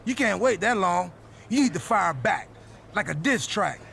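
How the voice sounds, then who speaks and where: An actor playing a goofy, excitable male wrestler in a video game cutscene, talking in an animated, playful, jokey way. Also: high-pitched, normal speed, creaky, a little hiss or hum, full character voice.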